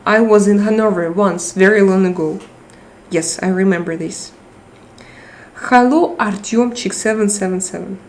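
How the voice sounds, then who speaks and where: A middle-aged woman with a Russian accent chatting casually on a live stream, halting and restarting often as she searches for words. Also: medium pitch. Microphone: tablet microphone.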